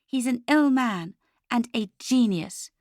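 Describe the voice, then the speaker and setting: A woman's voice: fairly high pitch, lively intonation, slow, darkish tone, dry recording, clean studio sound, pitched above the performer's own voice. A woman voicing a hot-tempered, defensive, loyal adult daughter in an audiobook, heated and insistent. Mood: fierce.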